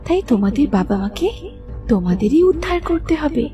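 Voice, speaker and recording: A woman voicing a gentle, earnest fairy who speaks solemnly and instructively in a children's cartoon. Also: high-pitched, big pitch swings, brisk, soft background music.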